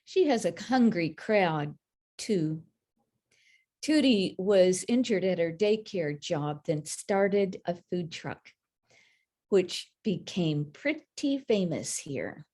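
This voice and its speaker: Woman reading poetry at a slow pace. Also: lowish voice, big pitch swings, darkish tone. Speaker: poet.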